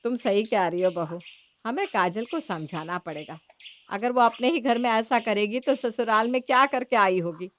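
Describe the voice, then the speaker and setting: A woman's voice: a little high, normal speed, close to an everyday voice. A woman voicing a middle-aged mother-in-law in a cartoon moral story, firm, protective and matronly. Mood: concerned.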